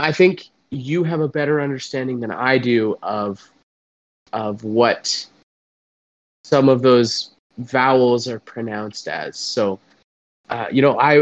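A young Canadian man co-hosting a podcast from home, speaking slowly and solemnly in a deadpan tone. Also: mid-range voice. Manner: slow, drawn-out, solemn. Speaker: podcast co-host. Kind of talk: deadpan mock-serious comedy chat. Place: home, remote recording. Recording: dull, dry, lo-fi sound.

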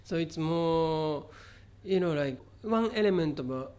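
A middle-aged man from Japan with a Japanese accent, answering interview questions about his art in a halting way, with many fillers and restarts. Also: a little high, lively intonation, a little mellow, smooth. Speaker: visual artist, interview guest. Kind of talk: conversational interview.

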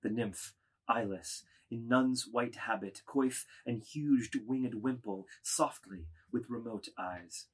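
A man reading stage directions as a narrator, with a measured, literary delivery. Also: mid-range voice, husky.

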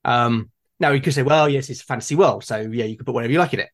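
A man's voice: mid-range voice, racing. A man in a casual discussion about gaming, speaking hesitantly and repeating words as he thinks. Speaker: tabletop gaming podcast speaker.

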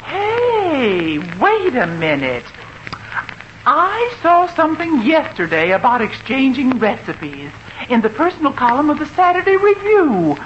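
Gossipy wry wife, unhurried, very animated, vintage radio sitcom, muffled old recording. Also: female voice, sits high, slight background noise, exaggerated voice. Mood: intrigued, teasing. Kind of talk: 1950s radio situation comedy acting.

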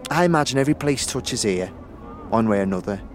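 A man playing a shifty, chatty young street guide in an audio drama, with halting slangy talk. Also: medium pitch, expressive, slight background noise, lightly acted. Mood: chatty, sly.

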